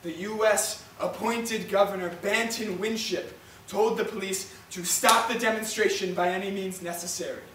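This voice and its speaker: Man acting as proud old Puerto Rican storyteller, high voice, solemn stage delivery, far from the mic, faint noise. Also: fairly even intonation, moderate pace, few pauses, echoey room, lightly acted. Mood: grave. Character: proud, patriotic elderly Puerto Rican parent storyteller, born 1930.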